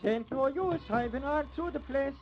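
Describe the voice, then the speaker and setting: A man's voice: very high voice, normal speed. An actor playing a plain-spoken country lawman with ungrammatical folksy speech, in the style of 1950s radio drama. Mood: irritated, defensive. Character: folksy male rural sheriff and gruff, defensive deputy. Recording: muffled, noisy old radio recording.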